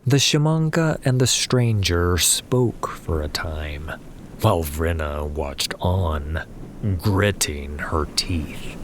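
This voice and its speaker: A man's voice: sits low, expressive, takes it slowly, slight background noise. A man narrating a fantasy story as a scripted, dramatic audio drama. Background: music.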